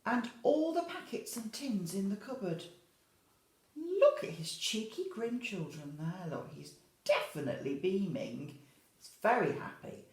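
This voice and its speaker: Expressive woman reading a storybook, echoey room. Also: mid-range voice, unhurried. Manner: expressive, storytelling, prompts children with questions. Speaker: infant school teacher. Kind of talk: animated picture-book reading for young children with asides.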